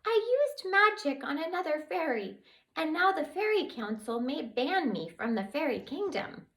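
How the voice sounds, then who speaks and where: Casting: woman voicing a tiny sobbing female fairy, very high and lively, scared and touchy. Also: moderate pace, full character voice. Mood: tearful. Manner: weepy, sobbing between words.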